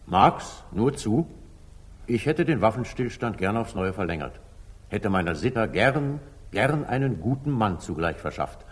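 An actor playing a generous, weary medieval sultan careless of money, in theatrical 1940s German radio drama style with a husky voice. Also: male voice, lowish voice, sing-song, a little slow, full character voice. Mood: weary, musing. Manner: theatrical, declamatory. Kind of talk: 1940s German radio stage-classic acting.

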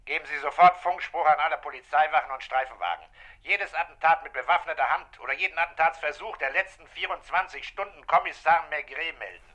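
A man's voice: fairly high pitch, fairly quick, sharp tone. A man acting a stern, controlled police commissioner in a radio crime drama, speaking firmly and insistently. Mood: brisk, commanding. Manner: firm, authoritative, insistent. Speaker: actor.

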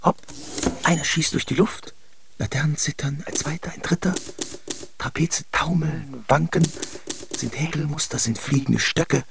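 An excited circus onlooker in a literary radio play. He speaks in staccato bursts that can sink toward a whisper, with a slightly high, creaky voice. There is clear noise behind him. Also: measured pace. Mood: breathless wonder. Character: excited male onlooker calling out a circus acrobatic act. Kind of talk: literary radio play recitation, clipped fragments.

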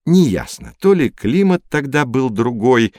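A learned older male teacher figure with a very animated, mid-pitched voice. He explains and tells stories to children in an educational audio play. The recording is dry and close. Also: a little slow, no echo. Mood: instructive. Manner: didactic, expressive, storytelling.

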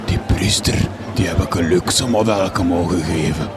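Man as deep, slow Flemish gravedigger. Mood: morbidly amused. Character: morbid, unhurried village gravedigger, drily cheerful. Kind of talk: gothic horror comedy radio drama. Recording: very loud music under the voice.

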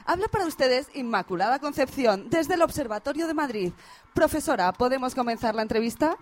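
A woman's voice: sits high, expressive, brisk. A woman playing an eager radio field reporter in a parody radio drama, formal and very courteous. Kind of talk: radio theatre, mock live reporting.

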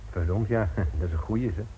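A man's voice: low voice, mellow, dull audio, a little hiss or hum. An actor in a Dutch radio play, playing a cynical, jovial male small-town newspaper editor with a chatty, wry, blustering manner. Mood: amused. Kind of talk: Dutch radio drama acting.

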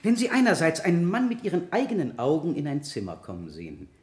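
In a reverberant room, a skeptical male lawyer probes a witness in a radio drama. His fairly high voice moves through wide, rhetorical swings with a sardonic, incisive edge. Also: wildly swooping pitch, fast talker, a little mellow. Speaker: lawyer cross-examining a witness. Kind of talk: 1970 German radio play acting.

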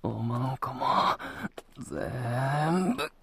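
An actor playing an obsessive, possessive lover in an intimate adult audio drama, speaking softly in a breathy, coaxing near-whisper. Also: male voice, medium pitch, animated, heavily acted. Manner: slow, drawn-out, soft coaxing. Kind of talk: intimate adult audio drama acting, spoken close to the listener.

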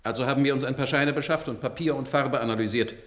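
A man plays a loyal robot helper in a radio drama. He sounds earnest and innocent, politely deferring to his boss, with a mid-pitched male voice that can turn bright. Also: fast talker, close to an everyday voice. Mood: proud, innocent. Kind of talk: German radio play acting. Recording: radio play, faint background noise.

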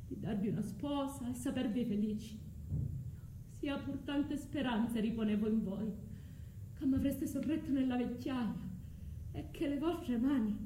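Actress as a tormented exiled sorceress for a radio play; high, slow, intense, with a reverberant room. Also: female voice, dark tone, slight background noise, natural voice. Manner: declamatory, intense, slow and drawn out. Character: vengeful sorceress mother, a foreign exile, proud and tormented. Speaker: stage actress. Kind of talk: theatrical radio drama monologue. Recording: echoey room with music underneath.

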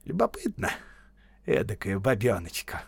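A man's voice: on the low side, huge pitch swings, normal speed, vocal fry, slightly rough, no echo, clean studio sound, heavily acted. A man voicing a sly, brash male peasant tramp in an audiobook story, boastful and teasing in rustic, colloquial speech.

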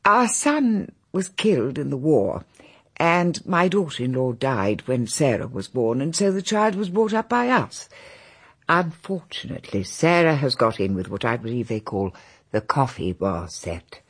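A woman's voice: rumbling low, very animated, measured pace, husky, exaggerated voice. An actress playing a genteel, confiding elderly English grandmother and hostess who rambles on in a radio drama. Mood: confiding, troubled. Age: older.

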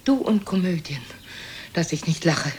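Actress doing a sharp-tongued old mother, low voice, swings all over, nagging and sarcastic, radio play. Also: expressive, normal speed, natural voice. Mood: scornful. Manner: scolding, sarcastic, theatrically indignant. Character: reproachful, sharp-tongued elderly mother of Casanova. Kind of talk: German radio play acting.

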